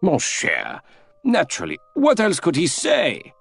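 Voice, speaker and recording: A man voicing an excitable, self-assured Continental gentleman in an audiobook, speaking emphatically and exclaiming. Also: a little high, unhurried, vocal fry, breathy edge, faint music behind, voice pushed up, exaggerated voice. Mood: emphatic.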